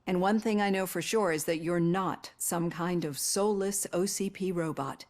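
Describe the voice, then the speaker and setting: An actress playing a composed, caring female psychologist in a video game, speaking in a measured, earnest, articulate way. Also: lowish voice, darkish tone, lightly acted. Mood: earnest, reassuring. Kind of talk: acted video game dialogue.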